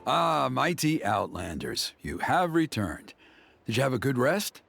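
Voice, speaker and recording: Animated, ceremonious game elder. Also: male voice, mid-range voice, creaky, soft background music, full character voice. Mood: pleased, businesslike. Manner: formal, measured, approving. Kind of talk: video game character acting.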